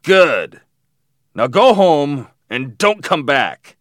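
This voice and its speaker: A man voicing a menacing, drunk, thin villain who taunts and shouts threats in a story. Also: medium pitch, huge pitch swings, slow, no echo, studio quality, exaggerated voice. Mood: menacing, taunting.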